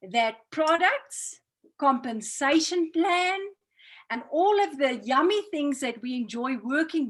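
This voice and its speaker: A woman with a South African accent speaks in a high voice, presenting as a training host. Her delivery is earnest and motivational. She is heard over a video call. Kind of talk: online business training presentation.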